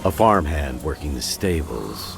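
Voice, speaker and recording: A gruff guard captain in a game cutscene, low and gravelly, with hugely swinging intonation. He is blunt and commanding, with music behind him. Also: very animated, a little slow, full character voice. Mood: businesslike. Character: gruff, demanding male captain of the town guard. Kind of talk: dramatic video game cutscene acting.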